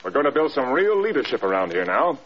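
An actor playing a stern, defiant air force general in a vintage radio drama, speaking in brisk, clipped military phrases. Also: male voice, medium pitch, very animated, fairly bright tone. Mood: brisk, commanding. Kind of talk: 1940s-50s radio drama acting. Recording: muffled, hissy old radio recording.

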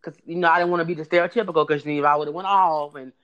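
A man with a high voice telling a story in casual chat, rambling with many restarts. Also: fairly even intonation, rapid-fire, a bit bright, clean tone, highs cut off. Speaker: podcast guest telling a story.

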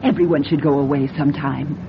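A woman's voice: fairly even intonation, fast. Gracious, motherly lady. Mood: soothing. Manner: gentle, reassuring. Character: gracious, motherly, soothing wealthy married lady. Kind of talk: radio drama acting. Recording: dull, noisy old radio recording, vehicle rumble.

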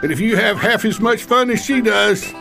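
A man's voice: high voice, moderate pace, creaky, over music. A man reading a comic testimonial ad in a folksy, jokey manner. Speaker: voiceover student in a testimonial ad. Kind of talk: comic scripted ad read.

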